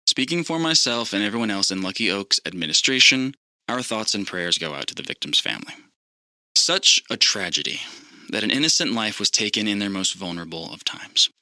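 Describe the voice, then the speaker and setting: An actor playing a hospital administrator who gives a slow, formal press statement in an audio drama. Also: male voice, on the low side, big pitch swings, sharp tone, dry recording, full character voice, rough recording. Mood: solemn. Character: smooth male hospital administrator giving statement.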